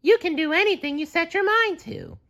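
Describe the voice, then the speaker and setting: A man voicing a cheerful, earnest male sea sponge in a storybook read-aloud, with a high, bright, bouncy put-on voice. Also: big pitch swings, fairly quick, vocal fry, heavily acted.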